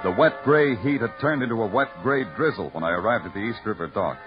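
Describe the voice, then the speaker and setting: Male actor as weary wry detective, deadpan, very mobile intonation, muffled vintage radio recording with prominent music. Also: medium pitch, fast, lightly acted. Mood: brooding narration. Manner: terse, deadpan, poetic first-person narration. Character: hard-boiled male night-shift Broadway police detective, world-weary, wry. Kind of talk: 1950s radio crime drama acting.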